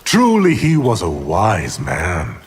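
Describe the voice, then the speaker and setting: A menacing sorcerer from a fighting game, smug and mocking. He draws words out slowly with huge, swooping pitch swings and a sneer, over loud background noise. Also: huge pitch swings, exaggerated voice. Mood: scheming. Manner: measured, theatrical, sneering delivery. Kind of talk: video game character dialogue.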